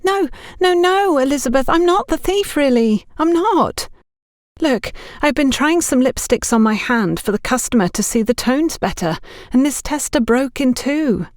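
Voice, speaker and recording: A woman's voice: high-pitched, animated, moderate pace, no room sound, studio quality, voice pushed up. A woman voicing a frightened young shop girl who pleads nervously and urgently in an audiobook story. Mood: frightened, pleading.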